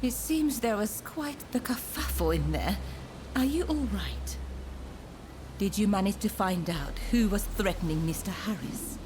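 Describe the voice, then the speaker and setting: A woman's voice: medium pitch, expressive, a little slow, background noise, close to an everyday voice. An actress playing a poised, teasing woman who trades favors in a video game, speaking politely and coyly. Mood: coy, composed. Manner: coy, polite, formal phrasing. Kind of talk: video game cutscene acting.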